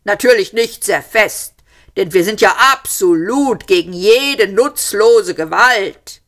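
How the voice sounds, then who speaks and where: A woman reading an audiobook, voicing two self-righteous male alien policemen who shout polite threats. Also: on the high side, a little slow, pitched above the performer's own voice. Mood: shouting smugly.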